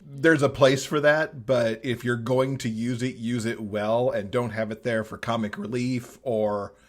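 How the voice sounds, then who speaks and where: A man's voice: medium pitch, steady tone, unhurried. A man giving his opinions as a guest in a casual book discussion on a podcast.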